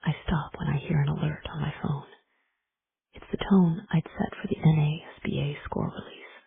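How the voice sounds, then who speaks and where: A woman narrating a first-person romance audiobook in a hushed, intimate whisper. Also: low voice, steady tone, normal speed, creaky. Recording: tinny phone-like recording.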